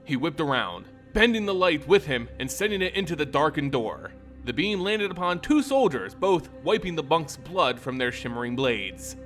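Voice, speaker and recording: A man reading a fantasy tale as a dramatic narrator, with theatrical, deliberate phrasing. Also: fairly high pitch, big pitch swings, a bit bright, soft background music. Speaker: storyteller, narrator.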